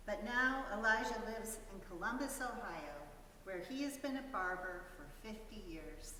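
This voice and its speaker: A woman's voice: mid-range voice, moderate pace, bright, forceful tone. A woman giving a scripted dramatic reading of a story as narrator. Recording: very echoey hall, distant mic, faint hiss.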